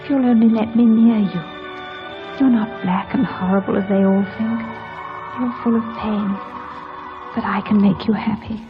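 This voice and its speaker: An actress playing a desperate, lovelorn young wife, earnest and imploring, in an old-time radio drama. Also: female voice, mid-range voice, fairly even intonation, normal speed, long pauses, lightly acted. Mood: pleading. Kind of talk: 1940s-50s radio drama acting. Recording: muffled old radio broadcast with music.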